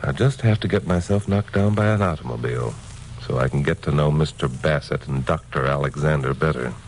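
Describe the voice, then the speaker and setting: Casting: hard-boiled newsman hero for vintage radio; low voice, assured, wry, faintly noisy recording. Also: fairly quick, gravelly. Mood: wry, probing. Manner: assured, wry, matter-of-fact. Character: tough, unflappable male crime-reporting newsman hero. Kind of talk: old-time radio crime drama dialogue and narration.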